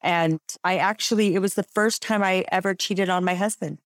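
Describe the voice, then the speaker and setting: A woman hosting a podcast in casual chat, bubbly and quick to laugh. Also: medium pitch, steady tone, dry recording, clean studio sound. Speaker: podcast host.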